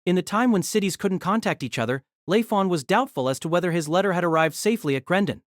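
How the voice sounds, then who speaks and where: A man narrating an audio drama in an expressive storytelling manner. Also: high-pitched, fast, no room sound, studio quality.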